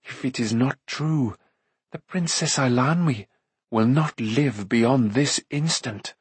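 A menacing sorceress queen, acted by a male narrator, cunning and imperious. The words come slow and drawn out in a hoarse, whispering storybook villain voice, recorded close and dry. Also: medium pitch, animated, no room sound. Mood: cold, threatening. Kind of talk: audiobook character voice.

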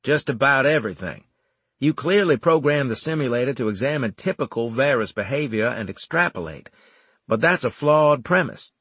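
A man's voice: medium pitch, animated, moderate pace, a bit bright, slightly rough, dry recording, voice pushed up. A man voicing a brash, cocky soldier in an audiobook, blunt and emphatic with his superiors. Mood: assertive. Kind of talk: audiobook character acting.

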